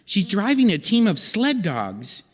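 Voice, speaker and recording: A man's voice: high voice, huge pitch swings, measured pace. A man narrating a comic sketch theatrically in old-time radio style, on a reverberant theatre stage.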